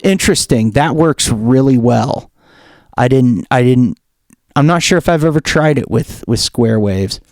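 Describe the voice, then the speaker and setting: A man giving a casual software tutorial, thinking aloud in an informal way as he demonstrates. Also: medium pitch, lively intonation, unhurried. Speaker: music producer demonstrating software.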